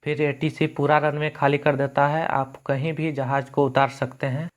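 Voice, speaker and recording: A man's voice: fairly high pitch, flat delivery, normal speed. A man. He narrates like a tutor in an explainer video, using simple colloquial wording and going through things step by step. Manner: plain, step-by-step teaching.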